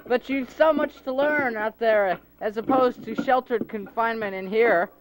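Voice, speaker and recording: A man's voice: extremely high pitch, normal speed, pitched above the performer's own voice, low-quality recording. A man putting on a cheerful eager old friend. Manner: bright, enthusiastic and persuasive. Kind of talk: amateur audio drama.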